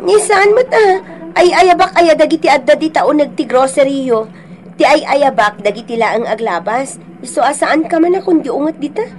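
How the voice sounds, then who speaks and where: Feisty saleswoman, high voice, brash, taunting, defiant, Ilocano accent, radio drama. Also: expressive, normal speed.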